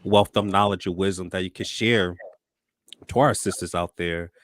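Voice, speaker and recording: A man hosting a talk show, upbeat and warm with his guests, often repeating words as he starts sentences. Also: low voice, steady tone, normal speed, dry recording. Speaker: host.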